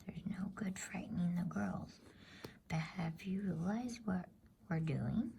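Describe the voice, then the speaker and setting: Woman whispering as a suspicious, grumbling boy, low and creaky. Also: takes it slowly, warm tone, a little hiss or hum. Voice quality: breathy whisper. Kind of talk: whispered storybook character reading.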